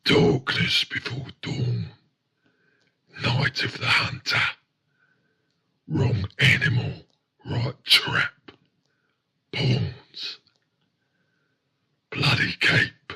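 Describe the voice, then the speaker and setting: A man voicing a brooding, menacing serial killer in a dark spoken-word monologue, breathy and half-whispered, in slow fragments. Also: medium pitch, huge pitch swings, heavily acted. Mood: menacing, cold.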